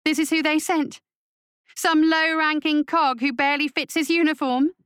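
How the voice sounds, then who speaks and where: A woman's voice: high voice, level tone, moderate pace, a bit bright, dry recording, clean studio sound, voice pushed up. A woman voicing a cruel witch gang leader with a taunting, sneering, thundering delivery in an audiobook. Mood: contemptuous.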